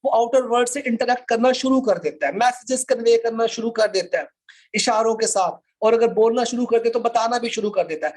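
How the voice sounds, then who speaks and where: A man's voice: extremely high pitch, brisk, darkish tone. A man with a Pakistani accent lecturing informally to a class, explaining step by step and repeating his points. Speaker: law lecturer.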